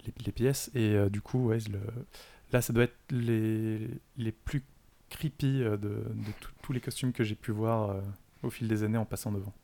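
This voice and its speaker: Hesitant man with a lowish voice. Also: fairly even intonation, moderate pace, mellow. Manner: hesitant, many fillers and restarts. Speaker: guest. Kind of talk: casual chat.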